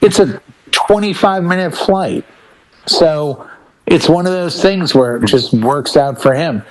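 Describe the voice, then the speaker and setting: A man chatting casually as a panelist on a talk show, telling anecdotes in an animated way and acting out quoted dialogue. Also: on the high side, a little slow, poor audio.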